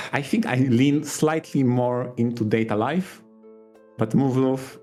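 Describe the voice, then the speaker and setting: A man's voice: on the low side, a little mellow. A man talking casually as a podcast co-host, hesitant and thinking aloud as he goes. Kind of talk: casual podcast chat about visual novels. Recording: music behind the talk. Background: background music.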